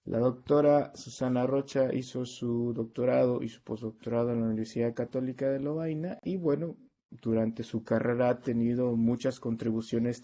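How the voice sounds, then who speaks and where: A man giving a semi-formal, somewhat halting introduction as a seminar host. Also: mid-range voice, warm tone. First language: Spanish.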